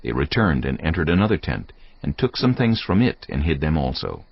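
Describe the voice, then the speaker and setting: A man giving solemn, measured scripted narration for a dramatised audio Bible. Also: a bass voice, big pitch swings, gravelly, slightly rough. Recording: dull, muffled audio over music.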